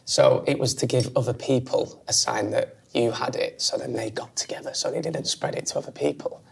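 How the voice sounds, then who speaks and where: A woman's voice: very deep voice, a little fast, creaky, a little hiss or hum. A woman from Lancashire with a Lancashire accent, interviewing a guest in a chatty, teasing way. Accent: Lancashire English. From England. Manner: chatty, teasing, explains slang. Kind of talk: casual interview chat. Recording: slightly distant mic, faint clatter.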